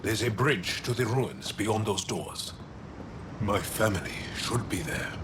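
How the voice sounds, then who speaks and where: Man as a deep, slow, solemn alien warrior. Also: heavy background noise, close to an everyday voice. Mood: grave, resolute. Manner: measured, solemn. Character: grave, measured male alien warrior, loyal to family. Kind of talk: video game dramatic acting. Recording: loud game music behind. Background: loud game music.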